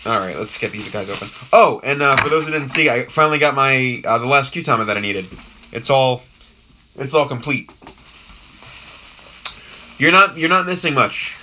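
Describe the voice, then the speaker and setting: Raspy hoarse young guy on a live stream, bright edgy tone, rambling casual chat. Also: medium pitch, moderate pace, long pauses, slight background noise, poor audio. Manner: rambling, with many ums and restarts, greeting viewers in chat. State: hoarse from a sore throat. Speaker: YouTube host doing a live unboxing.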